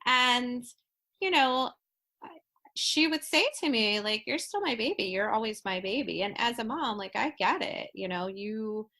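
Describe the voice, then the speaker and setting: Halting, heartfelt woman in her thirties. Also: a little high, animated, bright, forceful tone. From Florida. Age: 30s. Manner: halting, heartfelt, many restarts and fillers. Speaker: podcast guest. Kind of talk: casual podcast conversation.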